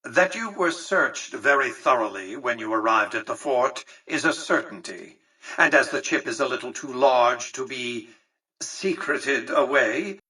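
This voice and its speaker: An actor playing an imperious, calculating elderly tycoon in a video game, speaking formally with precise, condescending diction. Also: male voice, medium pitch, pushed and bright, breathy edge, far from the mic, heavily acted, rough recording. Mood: condescending, deductive. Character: imperious elderly male tycoon ruling a casino city, coldly calculating. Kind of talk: scripted game dialogue.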